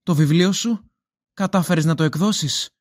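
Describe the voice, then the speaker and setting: A man's voice: a little high, no echo, clean studio sound, lightly acted. Actor playing a tender, coaxing, loving man. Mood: tender, proud. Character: affectionate amnesiac man, tender, eager and coaxing. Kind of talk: audio drama acting.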